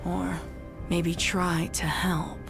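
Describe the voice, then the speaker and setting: A woman reading a dark story in a dramatic, deliberate and suspenseful way. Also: fairly low pitch, little pitch movement, creaky. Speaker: audiobook narrator of fan fiction. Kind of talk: dramatic scripted story narration. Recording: loud music under the voice. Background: music.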